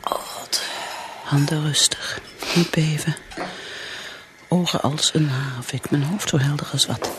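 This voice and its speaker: A woman's voice: low-pitched, steady tone, background noise. An actress playing an anxious middle-aged working mother who secretly drinks, with a hesitant manner, in a radio drama. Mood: furtive, self-soothing. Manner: hesitant, halting, breathy asides to herself. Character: secretly drinking, anxious working mother hiding her habit behind politeness.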